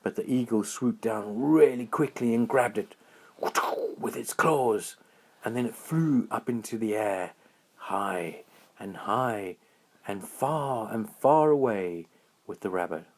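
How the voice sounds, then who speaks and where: A man narrating a children's bedtime story in a dramatic, drawn-out storytelling manner. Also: on the low side, a little mellow.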